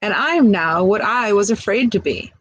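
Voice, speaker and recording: A woman's voice: mid-range voice, lively intonation, moderate pace, lo-fi sound, lightly acted. A woman voicing a young male snake in a storybook, curious and earnest, with a sense of wonder. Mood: reflective.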